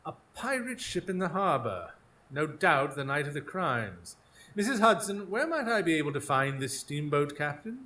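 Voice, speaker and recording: Man acting a snippy victorian detective, formal and dry, very animated inflection, radio play. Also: fairly high pitch, normal speed. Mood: probing, dry. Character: crisp, sceptical, exasperated male Victorian detective. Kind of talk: live radio drama acting.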